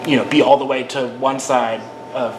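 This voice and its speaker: A man's voice: mid-range voice, fairly even intonation, normal speed. A man on a panel giving his view in a casual, loose way, with many fillers. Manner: casual, with frequent "like" and "you know". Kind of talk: panel discussion answer. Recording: echoey room, noisy.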